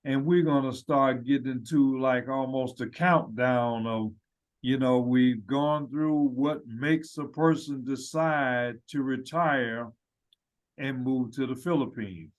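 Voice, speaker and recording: A channel host with an ordinary mid-range voice sets up the next part of the program. He talks in a relaxed, conversational way and hands over to his guest. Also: medium pitch, slow. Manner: casual, conversational lead-in. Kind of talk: show introduction.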